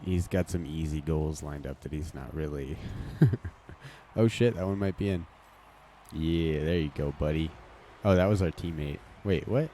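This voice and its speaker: A man's voice: a bass voice, moderate pace, mellow, a little hiss or hum. A man streaming a game, reacting casually and swearing at the play as it happens. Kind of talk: live gameplay commentary.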